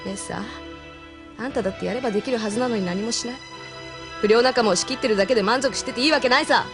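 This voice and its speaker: An actress playing a tough, frank young female mech pilot and former delinquent with rough, blunt speech in an audio drama. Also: fairly high pitch, very fast, close to an everyday voice. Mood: challenging. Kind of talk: Japanese audio drama acting. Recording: music behind the voice.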